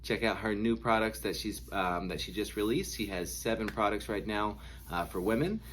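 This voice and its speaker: A man hosting a casual podcast, excitable and chatty, laughing often and calling his guest dude and man. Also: fairly low pitch, moderate pace, a little hiss or hum. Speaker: podcast host.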